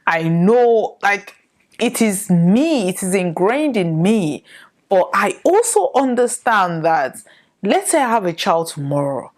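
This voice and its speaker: A woman's voice: mid-range voice, big pitch swings, takes it slowly, darkish tone. A woman from northern Nigeria with a Nigerian accent, hosting a solo podcast and giving her views in a reflective way, full of rhetorical questions. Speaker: podcast host. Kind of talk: solo opinion commentary to camera.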